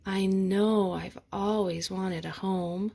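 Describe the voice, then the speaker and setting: Woman voicing a small wistful toy bear, slightly husky. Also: mid-range voice, fairly even intonation, slow, dark tone. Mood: content.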